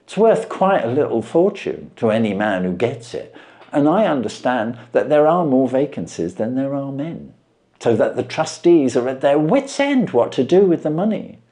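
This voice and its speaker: Man doing storybook shop assistant, male, eager, coaxing, smooth-talking, very lively pitch. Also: normal speed. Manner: coaxing, animated explaining.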